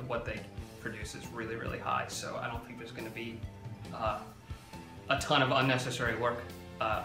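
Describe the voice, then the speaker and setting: A man who animates games explaining his work in a calm, measured way. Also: medium pitch, roomy sound. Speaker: game animator. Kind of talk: casual interview chat.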